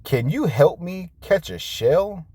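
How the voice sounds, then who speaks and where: A man plays an eager young storybook cat, earnest and quick to exclaim. The lines swing up and down in a lively way but come at an unhurried pace. It is a simple picture-book character for small children. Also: a little high, slightly rough, dry recording, pitched above the performer's own voice, full character voice. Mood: puzzled, pleading. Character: eager, dutiful young cartoon cat boy.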